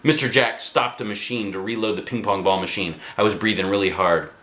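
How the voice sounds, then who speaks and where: A man narrating a children's book aloud with an expressive, dramatic delivery. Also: fairly low pitch, fairly quick. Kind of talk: animated children's storybook reading. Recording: echoey room.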